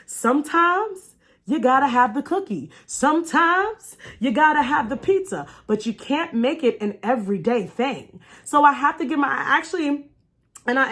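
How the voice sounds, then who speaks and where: A woman's voice: sits high, fairly bright tone. A woman giving a motivational vlog talk in an emphatic way, repeating key phrases and speaking straight to her viewers. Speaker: vlogger and life coach. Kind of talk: motivational storytime vlog with faith-based encouragement.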